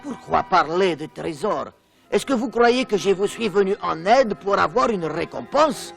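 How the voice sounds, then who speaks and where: A high, creaky cartoon water carrier, honest and hard done by. He complains plaintively in big rises and falls, with faint music behind him. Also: lively intonation, measured pace, a bit bright, full character voice. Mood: offended. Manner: plaintive, exclamatory. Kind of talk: French cartoon dub acting.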